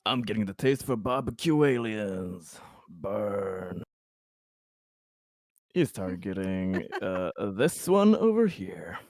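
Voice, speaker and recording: A man plays a tabletop game in casual chat. His voice sits a bit low, and the sound is close and dry with no room in it. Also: very animated, slow pace, a little mellow, no room sound.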